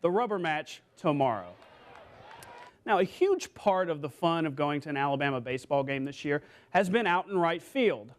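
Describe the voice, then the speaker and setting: A man working as a TV sports anchor, narrating scripted highlights in an energetic, playful way. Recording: music bed behind the voice.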